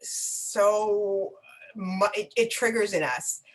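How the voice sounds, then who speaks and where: A woman interviewing a guest in a live online conversation, speaking haltingly with many pauses and restarts and warm encouragement, heard over a video call. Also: medium pitch, poor audio. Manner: effusive and encouraging. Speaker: interviewer for a nonprofit network.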